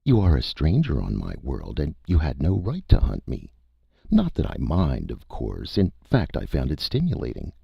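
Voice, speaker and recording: Alien creature, male narrator performing: calm, formal, courteous, gravelly voice lifted high above narration, dull recording. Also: dry recording, full character voice. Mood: polite, unruffled. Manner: polite, measured, formal. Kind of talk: audiobook character voice.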